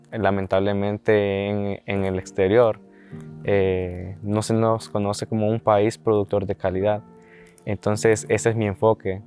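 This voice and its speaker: A man with a Honduran Spanish accent talks about coffee farming in a low, flat voice. He speaks earnestly and pauses to find his words. Soft music plays under him. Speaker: coffee producer. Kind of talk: documentary interview.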